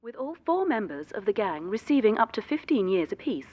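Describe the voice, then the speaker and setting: Radio play newsreader played by a woman: formal, animated intonation, muffled lo-fi broadcast sound. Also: medium pitch, fairly quick. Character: female news reporter, formal court report. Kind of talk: scripted news report in radio play.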